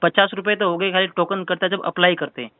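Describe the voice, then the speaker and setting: A man's voice: high-pitched, little pitch movement, fast talker, no room sound. Insistent Rajasthani man on a phone line. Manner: insistent, repeatedly arguing the point. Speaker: caller filing a complaint with a helpline. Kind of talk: phone complaint conversation. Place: telephone call. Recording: narrow-band phone call recording.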